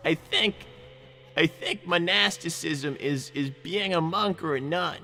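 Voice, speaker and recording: A man voicing an anxious, excitable young fox sidekick, flustered and stammering over repeated words, improvising in character on a livestream. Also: a little high, measured pace, faint music behind. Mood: earnest, hesitant.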